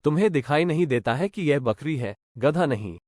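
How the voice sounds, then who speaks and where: A man's voice: a little high, expressive, normal speed, darkish tone, no echo, clean studio sound, pitched above the performer's own voice. A man voicing a gullible, indignant Brahmin scholar in a children's moral story, insistent and exasperated.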